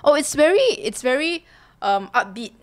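A young woman giving excited, exclaiming reaction commentary as host, close to a desk microphone in a small room. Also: sits high, moderate pace. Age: young adult. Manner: animated, giggly, exclaiming. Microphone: desk microphone close to her.

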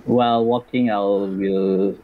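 Low-voiced man player, dull mic. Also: steady tone, takes it slowly. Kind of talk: describing character actions in a tabletop game.

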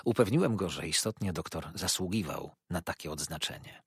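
A man voicing a kindly, simple-minded old eccentric who talks in an earnest, confiding and excited way. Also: sits low, a little fast, slightly rough, dry recording, studio quality, heavily acted.

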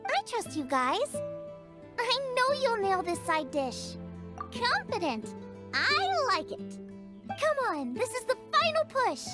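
Very high, perky girl chef. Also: very animated, slow, exaggerated voice. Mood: passionate, confident. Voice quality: high, girlish, bright. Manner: perky, enthusiastic, confident. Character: cheerful, passionate young girl chef in fantasy game. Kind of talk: anime-style video game voice acting. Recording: music behind the voice.

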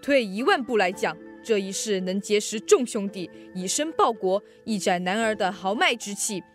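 A woman's voice: medium pitch, lively intonation, moderate pace, soft background music, lightly acted. A teenage girl playing an earnest, patriotic male soldier in a student radio drama, speaking formally.

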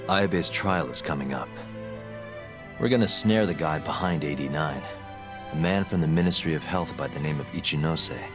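A man playing a tough, determined detective in a video game cutscene, terse and steady. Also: extremely low pitch, normal speed, over music. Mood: resolute. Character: tough, determined male detective, calm and reassuring.

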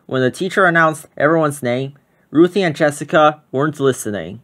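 A storybook narrator reads a picture book aloud for children. The delivery is a scripted read-aloud in a medium-pitched voice. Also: a little fast, fairly bright tone.

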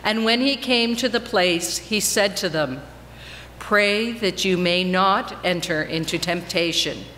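A woman giving a solemn, measured dramatic reading of scripture as a reader in a church. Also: lowish voice, fairly bright tone. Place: church, before a congregation. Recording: reverberant church hall, faint background noise.

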